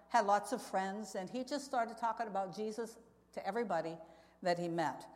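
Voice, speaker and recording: A woman giving an earnest, conversational church testimony talk as a missionary speaker, telling stories and putting questions to her listeners. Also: medium pitch. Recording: echoey hall with long reverb.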